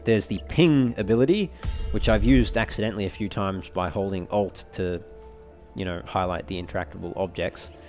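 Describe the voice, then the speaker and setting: A man giving casual let's play commentary as a walkthrough host, explaining things in a measured, wordy, slightly formal way. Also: low-pitched, a little mellow, faint music behind.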